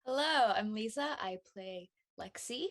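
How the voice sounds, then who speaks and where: A woman's voice: on the high side, animated, measured pace, fairly bright tone. A woman speaking casually as a cast member in a panel discussion.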